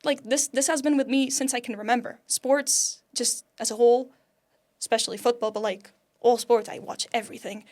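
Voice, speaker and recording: This is a young woman in her twenties with a Portuguese accent, speaking as a guest on a sports podcast. Her voice sits somewhat high and she talks in a friendly, chatty way. She laughs easily between thoughts. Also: steady tone, moderate pace, a little mellow. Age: 20s. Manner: chatty and upbeat, laughs often, many "like" fillers. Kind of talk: casual interview chat.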